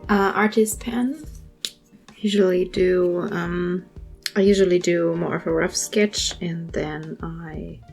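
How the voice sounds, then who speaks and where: A woman hosting a draw-along at her art desk, chatting casually with lots of fillers, her voice a little hoarse. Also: fairly low pitch. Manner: relaxed, rambling, many fillers. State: slightly hoarse. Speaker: art channel host. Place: home art desk, indoors.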